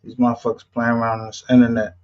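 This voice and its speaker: A man with a husky voice chatting casually on a livestream, blunt and profane, with street slang. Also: medium pitch, monotone, rapid-fire, a little mellow. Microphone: streaming call. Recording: dull lo-fi stream audio.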